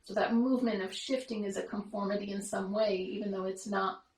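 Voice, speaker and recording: A woman's voice: mid-range voice, fairly even intonation, fairly quick, a little mellow, reverberant, poor audio. A woman hosting a podcast, talking in a rambling, reflective way with many fillers and restarts. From Romania. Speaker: podcast host. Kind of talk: casual conversation.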